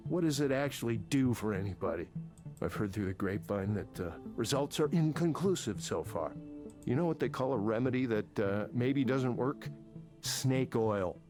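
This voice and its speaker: A man's voice: medium pitch, lively intonation, normal speed, close to an everyday voice. Actor as a combative, embittered male manager, music behind. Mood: scornful. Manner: combative, sarcastic, sweary. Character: angry, embittered older male tech manager ranting in counselling. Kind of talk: naturalistic visual novel game voice acting.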